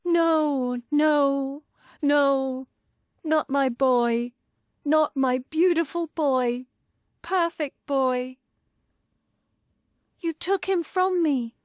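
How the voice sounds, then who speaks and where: Woman doing a commanding theatre-owner mom, intense, slowish delivery, kinda high voice, very dry sound. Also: no room sound. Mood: anguished. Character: imperious theatre-owner mother turned to grief-stricken rage. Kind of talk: script table-read acting.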